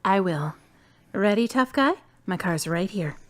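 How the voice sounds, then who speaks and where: A woman's voice: medium pitch, lively intonation, measured pace, lightly acted. A playful young woman, played by a woman, with a light, teasing way of talking. She sounds natural and unforced in this amateur audio drama.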